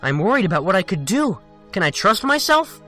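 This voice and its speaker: An actor playing a young, hot-headed, anguished superhero in an animated comic, speaking earnestly and emotionally. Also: male voice, sits high, a little fast, soft background music, exaggerated voice. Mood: troubled.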